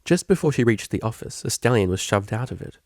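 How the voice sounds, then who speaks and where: A man reading scripted fiction aloud as a narrator, with a creaky voice. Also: lowish voice, lively intonation, fairly quick, darkish tone, dry recording, studio quality. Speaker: audiobook reader.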